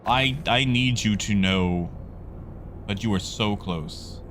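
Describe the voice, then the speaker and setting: A man's voice: on the low side, slow pace, background noise. A man hosting a livestream, chatting casually and in a rambling, conversational way with his viewers. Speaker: livestream host.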